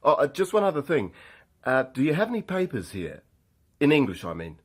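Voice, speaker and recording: A man's voice: a little high, huge pitch swings, fairly quick, dry recording. An actor playing a cocky, restless young man in a radio drama, speaking in a colloquial, off-hand way. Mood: curious. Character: cocky, restless young male accountant at sea.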